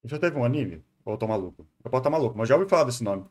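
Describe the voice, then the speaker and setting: Quick, slangy Brazilian man reacting. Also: mid-range voice, lively intonation, a little mellow. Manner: informal, slangy. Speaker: streamer reacting to videos. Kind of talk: casual off-the-cuff commentary.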